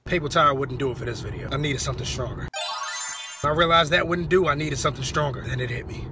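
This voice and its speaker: A man's voice: a little high, fast talker, over music. A young American man telling a story casually to camera in a slangy, exaggerated, comedic way, recorded on a phone in a car. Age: young adult. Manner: animated, slangy, exaggerated delivery. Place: inside a car. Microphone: phone at arm's length.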